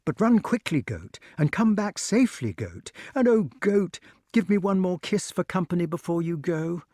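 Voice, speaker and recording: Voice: medium pitch, lively intonation, moderate pace, dark tone, no echo, clean studio sound, voice pushed up, lightly acted. A storyteller playing a frightened but defiant young boy, pleading and exclamatory. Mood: broken, pleading.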